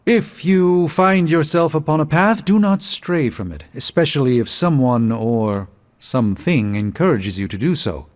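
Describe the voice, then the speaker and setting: An actor playing a proud, weary middle-aged sorcerer in a video game, speaking in measured, erudite, dryly wry phrases. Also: male voice, on the high side, animated, dry recording. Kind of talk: theatrical video game character dialogue. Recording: muffled game audio under music.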